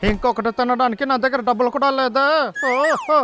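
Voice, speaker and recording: A man's voice: very high-pitched, fairly even intonation, fast talker. A man voicing a hot-tempered, greedy male hunter in a dubbed cartoon, blustering and grumbling. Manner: shouty, blustering, grumbling complaints. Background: music.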